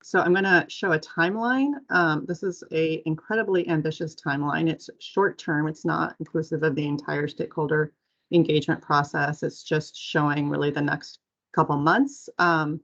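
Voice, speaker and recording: A woman giving a measured, explanatory semi-formal presentation as agency staff on a muffled conference call. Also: low-pitched, steady tone, vocal fry, a bit bright.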